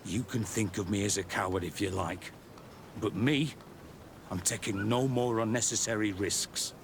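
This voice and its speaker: Actor as weary, low-voiced outpost captain, ambience behind. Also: male voice, normal speed, slight background noise, lightly acted. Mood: bitter, defensive. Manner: bitter, self-deprecating. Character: weary, embittered male outpost military captain. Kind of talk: video game cutscene acting.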